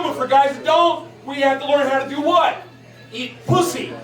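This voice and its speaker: A man in his forties doing a crude stand-up set, profane and brash, shouting at the crowd. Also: extremely high pitch. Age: 40s. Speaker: stand-up comedian. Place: large hall at a live show. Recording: echoey hall recorded from a distance with crowd noise. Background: laughing crowd.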